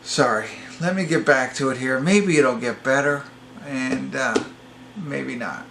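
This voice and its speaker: A man's voice: mid-range voice, unhurried, vocal fry, background noise. A man giving a casual, unscripted movie review to viewers, rambling and hesitant, with an apologetic way of talking. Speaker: amateur movie reviewer, host.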